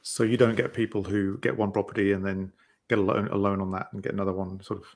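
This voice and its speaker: A man's voice: lowish voice, level tone, fast talker, vocal fry. A man co-hosting a finance talk show, asking hesitant questions that he rephrases as he goes. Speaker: co-host. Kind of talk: casual interview chat.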